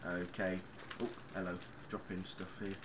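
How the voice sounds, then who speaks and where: Man, 30s, very low voice, casual rambling vlog talk, audible background noise. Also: little pitch movement, unhurried. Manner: chatty and rambling, with many fillers. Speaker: vlogger.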